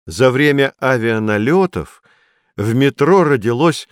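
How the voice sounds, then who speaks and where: A man playing a patient, earnest guide who explains things to children with deliberate emphasis in a scripted educational audio drama. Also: mid-range voice, animated, clean tone, no room sound, clean studio sound, close to an everyday voice. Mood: solemn, earnest.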